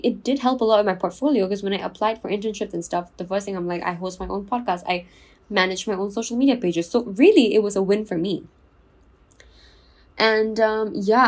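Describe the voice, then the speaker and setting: A young woman from Singapore hosting her own podcast in a chatty, confiding way, enunciating clearly with a soothing voice. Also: medium pitch, darkish tone. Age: 20s. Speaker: podcast host. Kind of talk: casual solo podcast chat.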